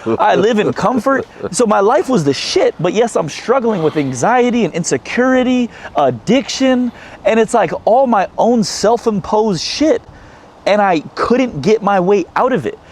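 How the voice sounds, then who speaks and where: A man's voice: sits high, animated, measured pace, slight background noise. A young American man, a former teacher hosting the talk, speaking earnestly and reflectively in casual slang. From United States. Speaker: podcast host, former teacher. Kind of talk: casual heartfelt conversation.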